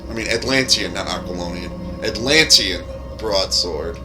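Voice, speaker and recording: A man's voice: deep voice, a little slow, poor audio. Casual man commentating over background music. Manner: rambling, explanatory, casually profane. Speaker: game streamer and modder.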